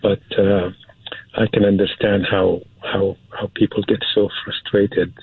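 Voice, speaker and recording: Male guest, husky voice, dry phone-like narrow sound. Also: lowish voice, level tone, a little slow, no room sound. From Syria. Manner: hesitant, repeats words for emphasis. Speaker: interview guest, film producer. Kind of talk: casual interview talk.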